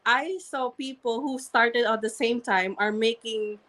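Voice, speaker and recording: This woman speaks very slowly and deliberately, with a strong non-native accent in her English. As an interview guest she tells her story earnestly, looping back over her words and restarting her phrases. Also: fairly high pitch, fairly bright tone. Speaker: interview guest, registered nurse and online marketer. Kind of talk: candid personal story in conversation.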